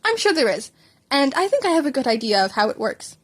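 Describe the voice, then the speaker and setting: Audio story role: unsettlingly calm male doctor, read by a woman, deliberate delivery, pitched fairly high. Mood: confident.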